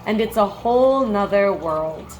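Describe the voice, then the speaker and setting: Woman, teacher read-aloud, slow unhurried pace, medium pitch, expressive storybook phrasing. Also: low-quality recording. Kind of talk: picture book read-aloud with brief comments.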